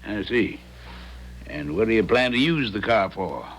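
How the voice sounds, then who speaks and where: Folksy American small-town garage owner. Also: male voice, fairly low pitch, expressive. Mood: plain, cautious. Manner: folksy, plain-spoken, drawn-out. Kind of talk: 1970s radio drama acting.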